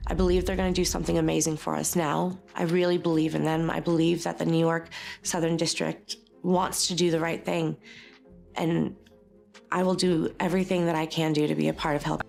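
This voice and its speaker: A woman's voice: deep voice, level tone, fairly quick, gravelly, a little mellow, soft background music. A woman speaking as a survivor giving a statement, earnest and emphatic. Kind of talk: spoken testimony to media.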